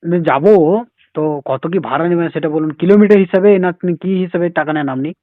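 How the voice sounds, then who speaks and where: A man making a casual prank phone call, persistent and deadpan. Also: fairly high pitch, moderate pace, no room sound. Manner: deadpan, persistent, evasive with absurd questions. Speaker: prank caller, comedy show host.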